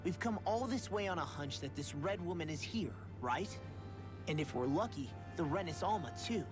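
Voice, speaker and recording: A woman's voice: lowish voice, expressive, normal speed, music in the background, natural voice. A woman playing a cheeky, jittery young mage in a video game, talking colloquially and expressively. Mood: uneasy, questioning.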